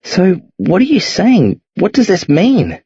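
A man's voice: high voice, huge pitch swings, takes it slowly, a little mellow, husky, no room sound, muffled recording, voice pushed up, heavily acted. Man voicing a frightened teen boy. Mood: fearful. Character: frightened anxious teenage boy narrator.

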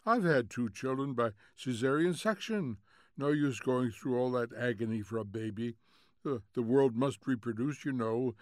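A man's voice: mid-range voice, no echo, natural voice. A flighty society housewife, acted out by a male audiobook reader, prattles with complacent ease. The delivery is gossipy and smug, in a dry, close recording. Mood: smug, chatty. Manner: gossipy, self-satisfied prattle. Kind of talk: audiobook character voice.

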